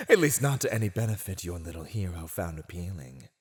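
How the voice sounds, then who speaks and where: A man's voice: low-pitched, big pitch swings, a little mellow. A man playing a silver-tongued villain warlord who taunts a captive in a theatrical, mocking, teasing way for an ASMR roleplay. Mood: smug, sly. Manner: teasing, mocking, theatrical, drawn-out delivery with chuckles.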